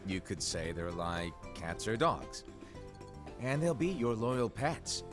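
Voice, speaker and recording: A mysterious game-world master with a low voice that swoops playfully. He is flippant, teasing and theatrical, with music clearly behind him. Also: big pitch swings, takes it slowly. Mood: breezy, playful. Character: playful, eccentric male master of apprentices, breezily mysterious. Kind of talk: animated fantasy game cutscene acting.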